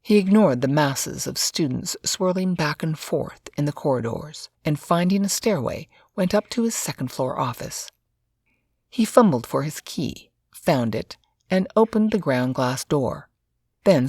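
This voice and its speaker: A woman's voice: low-pitched, a little mellow, breathy edge, no echo, clean studio sound. A woman reading a scripted story aloud as its narrator.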